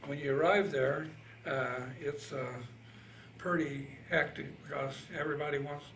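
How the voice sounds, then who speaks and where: Man around eighty, Midwestern American accent, halting folksy recollection, interviewed veteran, echoey room, faint background noise. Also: a little high, steady tone, fairly bright tone. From Indiana, United States. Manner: halting, folksy plain speech. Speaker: Navy veteran being interviewed. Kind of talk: casual oral history recollection. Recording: roomy, distant mic, faint noise.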